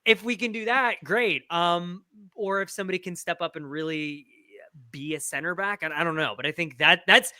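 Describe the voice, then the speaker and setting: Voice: medium pitch, normal speed, pushed and bright, no room sound, studio quality. A podcast panelist in a casual sports discussion, explaining things in long, looping, emphatic runs.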